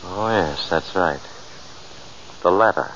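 An actor playing a calm, fatalistic doctor friend in 1940s radio drama, earnest and soothing as he reasons. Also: deep voice, slow pace. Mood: subdued, agreeable. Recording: muffled, noisy old radio recording.

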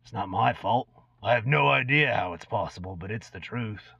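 A man's voice: lowish voice, expressive, moderate pace, vocal fry, slightly rough, heavily acted. A man voicing an ancient, gaunt, cursed goblin, a brooding and unrepentant former killer, in a dramatic reading of a fantasy tale. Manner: brooding storyteller recounting dark deeds. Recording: dull lo-fi recording in echoey room.

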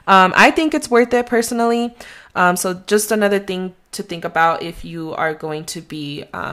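A woman's voice: lowish voice, fairly bright tone. A young adult woman with a slight Mexican accent, a real estate agent giving rambling, conversational advice on her solo podcast.

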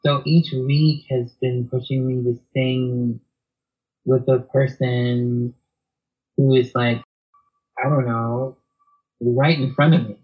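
A soft-spoken man, talking reflectively as an interview guest, hesitant with many fillers and restarts. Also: mid-range voice, flat delivery, slow, long pauses, dull audio.

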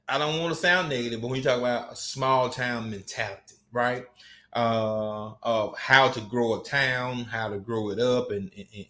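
A man's voice: mid-range voice, a bit bright. A man with a Southern US accent talking casually and at length, with many restarts and rambling turns. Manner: laid-back, rambling with restarts. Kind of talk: casual podcast chat.

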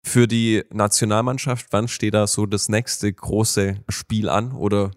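A man's voice: fairly low pitch, level tone, unhurried, no room sound, studio quality. A man hosting a podcast interview, asking questions and giving short encouraging replies. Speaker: podcast host.

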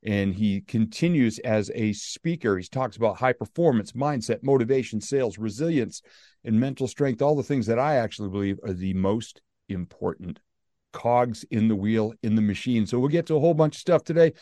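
A man's voice: lowish voice, normal speed, mellow, dry recording. An American man hosting a podcast, introducing his guest and asking questions in an upbeat, chatty way. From United States. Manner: enthusiastic, chatty. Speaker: podcast host.

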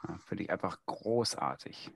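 Podcast co-host, male, lowish and gravelly, close dry sound, conversational. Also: lively intonation, normal speed, a bit bright, no echo. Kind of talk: casual chat.

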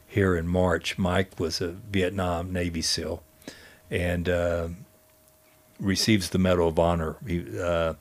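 Man, very low voice, conversational storyteller, halting delivery, frequent pauses. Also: flat delivery. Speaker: guest storyteller and history teacher.

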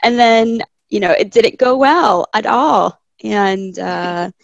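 A woman's voice: medium pitch, slow, vocal fry, no echo, dull audio. A young woman from Chile, a landscape architect, chatting casually as a guest and laughing often. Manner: chatty, laughs often, quotes people in asides. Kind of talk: casual interview conversation.

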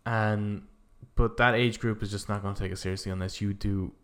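A man with a fairly low voice chats casually as a podcast co-host. He rambles through his opinions, thinking aloud and swearing freely as he works out each point. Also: steady tone, brisk, a bit bright. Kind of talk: casual opinionated chat about films and games.

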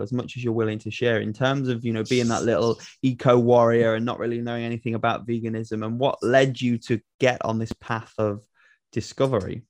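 A man hosting an interview, asking curious questions in a playful, gently teasing way. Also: lowish voice, no room sound, studio quality. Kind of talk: casual interview conversation.

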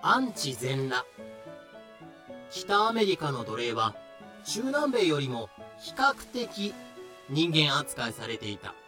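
A man playing a dry, pedantic explainer who delivers clipped, deadpan asides and history notes in a scripted voice drama. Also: on the high side, slow pace, pauses often, full character voice. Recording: music behind the voice.

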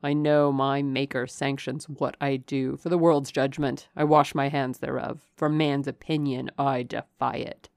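A low, gravelly gentleman lover acted by a woman pours out urgent, commanding declarations. He is possessive and desperate, in the grand declamatory manner of a dramatic audiobook, recorded close and dry. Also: little pitch movement, a little slow, hardly any pauses, dry recording, exaggerated voice. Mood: defiant. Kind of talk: dramatic audiobook character reading.

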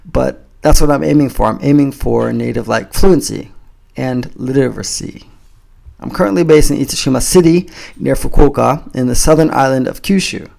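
A man from the United States with an American accent, giving an earnest, measured, scripted pitch for his community program. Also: gravelly, a little mellow. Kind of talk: scripted promotional talk to camera. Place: home in a Japanese coastal town.

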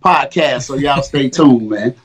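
A man's voice: medium pitch, measured pace, breathy edge. A man in his fifties, a guest rapper and coach, talking in casual, boastful street slang on a makeshift mic. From Indiana, US. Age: 50s. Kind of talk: casual interview. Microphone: makeshift mic, not a studio one.

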